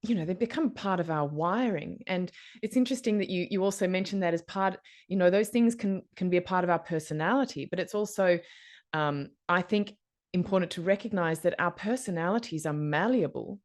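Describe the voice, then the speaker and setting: A woman's voice: on the low side, a little fast, a little mellow. A woman hosting a podcast interview, speaking conversationally and asking thoughtful, probing questions. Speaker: podcast host.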